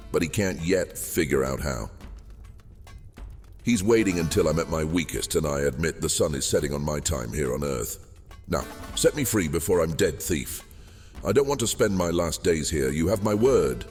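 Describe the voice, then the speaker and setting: Ancient sly djinn, super deep voice, silky n theatrical, big cave reverb, fantasy audio drama. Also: male voice, expressive, darkish tone. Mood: pleading. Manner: theatrical, silky, hesitant pauses. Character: old, fading, sly captive male djinn, courteous and wheedling. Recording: long cavernous reverb, music underneath. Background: music.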